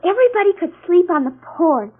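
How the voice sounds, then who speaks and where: A woman's voice: very high-pitched, animated, fast, phone-quality sound. An actress playing a girlish, chatty young American daughter in an old-time radio drama. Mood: girlish, eager. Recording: thin band-limited old radio recording.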